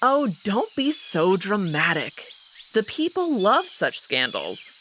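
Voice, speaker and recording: A woman's voice: medium pitch, huge pitch swings, slow, a bit bright, slight background noise, heavily acted. A woman playing a haughty, teasing female alien wolf noble in a visual novel, arch, composed and dismissive.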